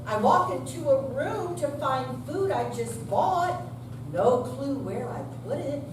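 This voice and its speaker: A woman's voice: high-pitched, lively intonation, slow pace. An older woman reciting humorous rhyming verse in a staged reading. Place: senior center room, far mic. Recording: distant mic, echoey room, noisy.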